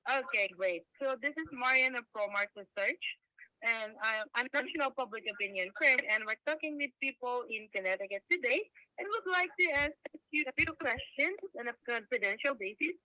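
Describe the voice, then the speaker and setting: A woman calls to run a phone survey and reads politely from a script. Her voice is high and bright. The sound is dry and close. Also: a little fast, no echo, low-quality recording.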